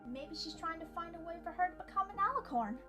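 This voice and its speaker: A woman playing a sweet, cheerful young female pony who is an encouraging, earnest friend in an animated audio drama. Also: extremely high pitch, fast talker, soft background music, exaggerated voice. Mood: earnest, hopeful.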